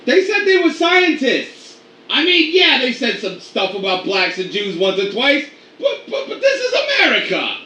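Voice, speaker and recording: An actor playing a broken-down middle-aged ex-gangster on trial, rambling and repeating himself in a radio play. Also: male voice, extremely high pitch, huge pitch swings, normal speed, sharp tone, echoey room, far from the mic, heavily acted. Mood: nervous.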